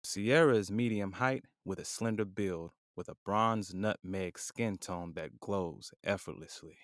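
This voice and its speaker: A man narrating a scripted audio drama in a measured, descriptive way. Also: low voice, no room sound, studio quality.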